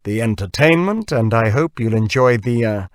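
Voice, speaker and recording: A man's voice: medium pitch, lively intonation, moderate pace, clean tone, no echo, clean studio sound, close to an everyday voice. A man reading an audiobook as a proud, glum man who puts on hearty bonhomie, blustery and wry. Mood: forced cheer. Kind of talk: audiobook character dialogue.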